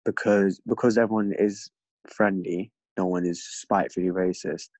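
A man's voice: sits low, steady tone, moderate pace, a little mellow, dry recording. A young man from London with an English accent, a podcast guest reflecting earnestly in casual conversation. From London, England. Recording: dull lo-fi dry recording.

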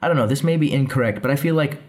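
A young man co-hosting a podcast, chatting casually in a quick, rambling, joking way. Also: mid-range voice. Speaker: podcast co-host. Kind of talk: casual chat.

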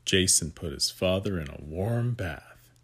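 Deep, unhurried man reading a picture book. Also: expressive, breathy edge. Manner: gentle guiding asides to the child listener. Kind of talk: read-aloud storytelling for young children.

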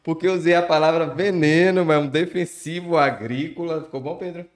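A man's voice: on the high side, steady tone, brisk. A man who hosts a podcast and talks in a chatty, joking way. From western Bahia, Brazil. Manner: jokey, chatty, laughs often. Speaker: podcast host. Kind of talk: casual podcast hosting.

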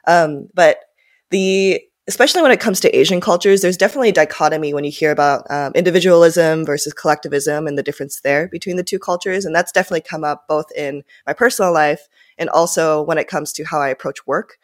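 A woman's voice: low-pitched, quick pace. A woman in her twenties, born and raised in Japan with Japanese as her first language, answering interview questions in a rambling, casual way with many fillers. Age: 20s. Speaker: guest, startup founder.

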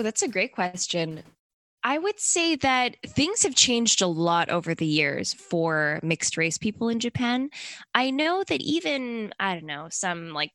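Bright chatty young woman. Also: lowish voice, dry recording. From Canada. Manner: chatty, upbeat, sprinkled with likes and ums. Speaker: interview guest. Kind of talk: casual interview answers.

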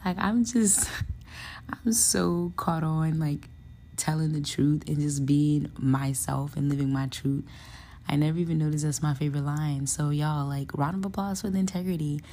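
Young woman podcast host, hoarse and husky, with a low pitch; relaxed, slangy solo chat. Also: moderate pace. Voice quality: raspy, soft voice. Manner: relaxed and chatty, laughs often, slangy asides. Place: hotel room.

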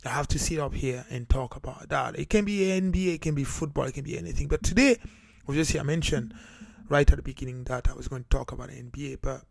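A man's voice: mid-range voice, quick pace, gravelly, no echo, studio quality. A man from Cameroon hosting his own talk show, speaking earnestly with many fillers and restarts. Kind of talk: solo podcast monologue. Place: United Kingdom.

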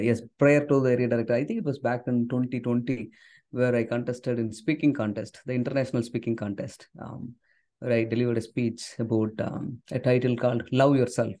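A man's voice: mid-range voice, darkish tone. A middle-aged man from southern India whose first language is Tamil, talking casually as a podcast guest, with many fillers. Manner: conversational, many "uh" and "I mean" fillers. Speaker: podcast guest, software engineer and author. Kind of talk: casual interview conversation.

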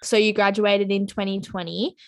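A woman's voice: mid-range voice, little pitch movement, normal speed. A young adult woman co-hosting a podcast, teasing and bubbly, using lots of "like". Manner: bubbly, teasing, heavy "like" fillers. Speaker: podcast co-host. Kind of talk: casual chat.

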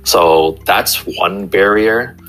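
A young chiropractor talks in a casual interview, walking through everyday examples with many restarts. His voice sits low but sounds bright and forward. Faint music plays softly behind him. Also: very slow, low-quality recording.

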